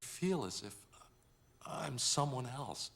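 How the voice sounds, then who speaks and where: An actor playing a troubled, wealthy gentleman hero in a cartoon, earnest and dramatic. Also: mid-range voice, lively intonation, slow pace, vocal fry, exaggerated voice. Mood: distressed, confused. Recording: musical score behind cartoon dialogue.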